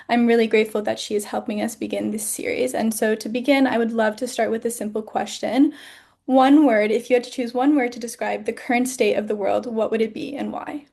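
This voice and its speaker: A young woman with a fairly high voice hosts the show. She reads an introduction and asks her questions in an earnest, gracious manner. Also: darkish tone. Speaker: host. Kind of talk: scripted introduction and interview questions.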